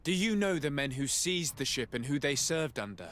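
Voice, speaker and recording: An actor playing an earnest, courteous diplomat in a video game, speaking in a polite, formal and measured way. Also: male voice, fairly high pitch, sing-song, a bit bright. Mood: composed, inquiring. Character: courteous, earnest male diplomat, composed investigator. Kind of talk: dramatic video game dialogue.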